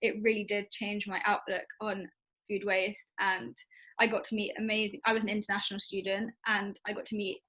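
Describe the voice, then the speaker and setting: Young woman, relaxed and chatty, reads out questions on a video call. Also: medium pitch, brisk, poor audio. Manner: casual, uses "like" and "um". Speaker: Q&A moderator.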